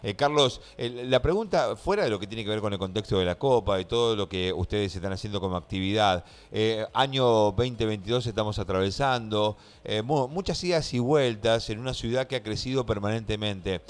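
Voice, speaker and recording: A man's voice: fairly low pitch, brisk, hardly any pauses, clean tone. A middle-aged Argentine man hosting a live radio interview in a conversational, affirming way, close to a studio microphone. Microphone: close studio microphone, headset monitoring.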